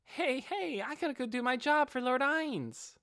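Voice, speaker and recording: A man voicing a young dark elf girl in an audiobook, an eager, loyal beast tamer who speaks perkily and with deference to her master. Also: very high-pitched, animated, a little slow, gravelly, no echo, studio quality, voice pushed up, full character voice. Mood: fond, cheerful. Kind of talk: audiobook character voice.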